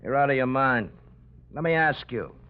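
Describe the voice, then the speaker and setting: An actor playing a combative, defensive nightclub racketeer in an old radio crime drama. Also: male voice, medium pitch, expressive, normal speed, close to an everyday voice. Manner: gruff-talking, combative. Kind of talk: 1940s-50s radio detective drama acting. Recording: dull vintage radio recording.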